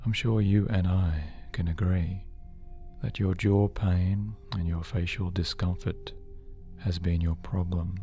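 A man narrating a guided sleep hypnosis session in a soothing, measured, deliberate way, as a hypnotherapist. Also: low-pitched, fairly even intonation, dark tone. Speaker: hypnotherapist host. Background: background music.

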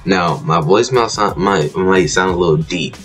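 A man's voice: sits low, a little slow, fairly bright tone, soft background music. A young man hosting a reaction video from his bedroom, laid-back and chuckling, with a groggy just-woke-up morning voice. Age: young adult. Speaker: reaction channel host. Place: bedroom, indoors.

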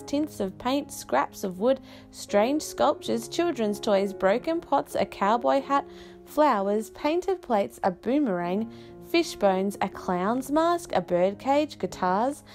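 A woman reading a children's picture book aloud with expressive, careful, unhurried phrasing and dramatic pauses. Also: fairly high pitch.

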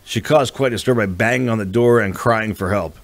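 A man narrates an audiobook in a lowish voice. The delivery is scripted storytelling, and the recording is dry and close. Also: fairly quick, dry recording.

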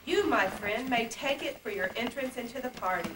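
A woman's voice: a little high, normal speed, natural voice. A woman playing a kindly, reassuring young princess in an amateur puppet play, speaking earnestly. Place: indoor puppet stage, far camera. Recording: distant, echoey, noisy old camcorder tape.

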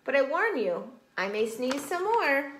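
Lady doing a tiny whiny monkey for a kids story, sneezy n wheezy, super high voice, echoey room. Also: big pitch swings, unhurried, fairly bright tone, slight background noise, heavily acted. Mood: reassuring. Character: sneezy, apologetic, helpful little monkey.

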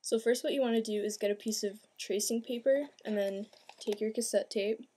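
A woman's voice: a little high, fairly even intonation, moderate pace, mellow. A young adult woman giving an informal, plain-spoken step-by-step craft demonstration, recorded indoors at home. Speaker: craft tutorial host. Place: small room at home, indoors. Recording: clear background noise, amateur home video.

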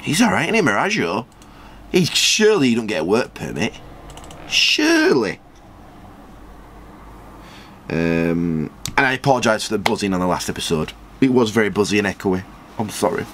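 A man's voice: huge pitch swings, a bit bright, a little hiss or hum. A man, casually commenting on a football management game and reading out viewer comments in a small storeroom. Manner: chatty, rambling, quick jumps in pitch when surprised. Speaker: gaming channel host.

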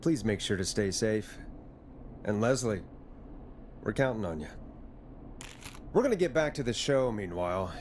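An actor playing a jaded late-night radio host in a video game, with a wry, exasperated manner. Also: male voice, fairly low pitch, expressive, measured pace, pauses often, a little mellow, slight background noise. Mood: composed, on air. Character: jaded fallen big-city radio DJ, dry and weary. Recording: music playing behind the voice.

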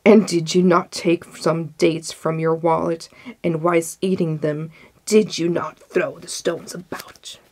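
A woman's voice: deep voice, level tone, slow pace, a little mellow, exaggerated voice. A woman voicing an enormous enraged male genie in a storybook, speaking in menacing, emphatic declarations. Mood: accusing, merciless.

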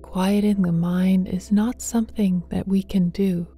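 Female meditation guide: slow, velvety and very mellow, gentle hushed delivery drifting toward breathy near-whisper, tender toward the listener, faint music underneath. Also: mid-range voice, fairly even intonation. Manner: tender, affectionate address to listener. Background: soft meditation music with singing bowls.

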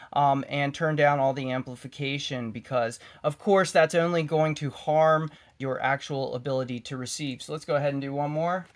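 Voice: mid-range voice, a bit bright. A tech tutorial host giving a casual hands-on demonstration in a cautionary, instructive manner.

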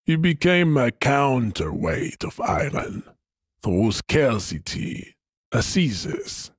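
A man's voice: on the low side, slightly rough, no echo, studio quality, heavily acted. An actor playing a pompous, imposing male ideologue in a video game, proud and disdainful, declaiming with grand emphasis. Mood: proud, lecturing. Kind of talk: theatrical video game character acting.

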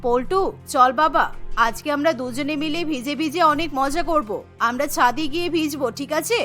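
Cartoon grandmother, elderly, stern and commanding, forceful high voice, acted by a woman. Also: moderate pace, soft background music. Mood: coaxing. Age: older. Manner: scolding, commanding. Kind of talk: children's cartoon voice acting.

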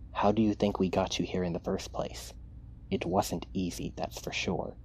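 A cold, possessive mad scientist played by a man in an ASMR roleplay. The voice is low and full of fry, moving between clinical dictation and hushed menace, over an audible hiss. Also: poor audio. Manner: clinical dictation mixed with hushed, taunting asides.

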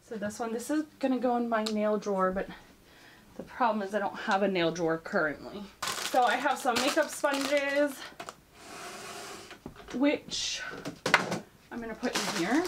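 A woman in her thirties chatting casually as she tidies her beauty shelves indoors, moving toward and away from the camera mic. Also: fairly high pitch. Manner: chatty, rambling, thinking aloud while tidying. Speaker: beauty YouTuber. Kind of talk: casual cleaning vlog chat. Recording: noisy room tone with clicks.

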